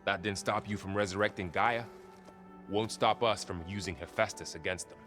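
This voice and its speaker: A loyal young warrior voiced by a man in a cinematic game scene. His voice is on the low side. He speaks calmly and sincerely, with a thoughtful, conversational and steady delivery. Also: normal speed, faint music behind, close to an everyday voice. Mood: thoughtful, reassuring. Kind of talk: naturalistic video game cutscene acting.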